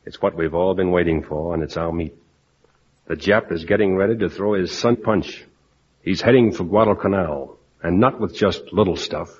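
An actor playing a tough, commanding wartime navy squadron leader who gives clipped, slangy orders in 1940s radio style. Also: male voice, low-pitched, moderate pace, slightly rough. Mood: resolute. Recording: dull, noisy old radio recording.

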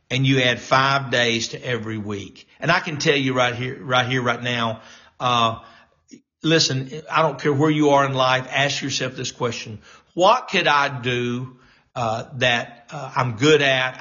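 A man with a bright, forceful voice talks like a pastor to his live-stream audience, starting over and filling gaps with "uh" as he goes. He sounds encouraging and a little preachy. The sound is dull and lo-fi. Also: medium pitch. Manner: halting, many fillers and restarts. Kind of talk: casual live-stream talk.

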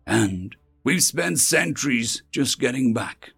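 A man acting out a solemn, embittered ambassador in an audio story. The voice is creaky and swings widely in pitch, drawing out words with heavy emphasis over music. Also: animated, a bit bright, heavily acted. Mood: bitter, grave. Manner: emphatic, drawn-out, solemn delivery. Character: grave, wounded human ambassador recounting a catastrophe.

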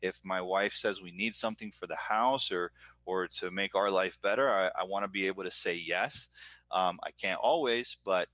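A man's voice: low-pitched, normal speed, pushed and bright, dry recording. A middle-aged man with an American accent, talking earnestly and at length about himself in a casual conversation. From Florida, United States. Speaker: guest, entrepreneur and business owner. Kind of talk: casual chat, personal reflection.

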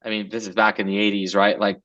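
A man's voice: on the low side, flat delivery, quick pace, a bit bright, muffled recording. A man in his forties from Los Angeles, a guest entrepreneur chatting casually in an interview, loose and rambling with many fillers.

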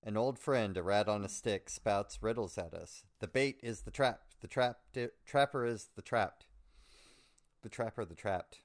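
A man's voice: lowish voice, measured pace. A man co-hosting a podcast, reading a prepared chapter summary aloud with dry, wry asides. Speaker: podcast co-host.

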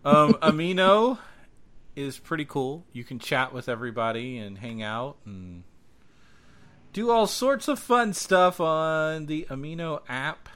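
Bright-voiced man co-hosting a podcast. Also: a little high, big pitch swings, a little slow. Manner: irreverent, joking. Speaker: podcast co-host. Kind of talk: casual banter.

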